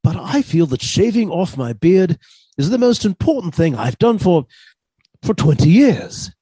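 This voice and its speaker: An actor playing a mild, henpecked older botany professor with a halting, polite manner in a radio suspense drama. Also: male voice, sits high, very animated, warm tone, husky, no echo, heavily acted. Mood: shy, courteous.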